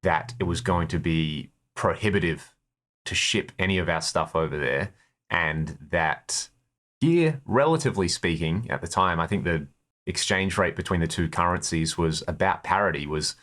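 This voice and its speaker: A man's voice: low-pitched, normal speed, fairly bright tone. A man with an Australian accent chatting as an interview guest, telling stories about his own experiences in a conversational way. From Perth, Australia. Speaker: interview guest, guitarist in a touring band.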